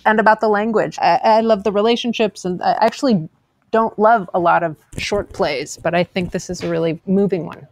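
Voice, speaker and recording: A woman's voice: mid-range voice, fairly quick, dry recording. A woman theatre director giving thoughtful, slightly hesitant answers in a casual interview.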